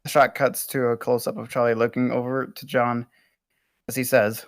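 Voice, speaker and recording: Halting male script narrator, dry sound. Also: mid-range voice, flat delivery, no room sound. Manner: halting reading with stumbles. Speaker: stage-direction narrator. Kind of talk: scripted narration at amateur table read.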